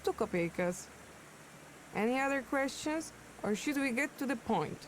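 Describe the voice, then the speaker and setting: An actress voicing a brisk, dismissive woman vendor in a video game, speaking in a curt, businesslike way. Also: sits high, lively intonation, a little hiss or hum, low-quality recording.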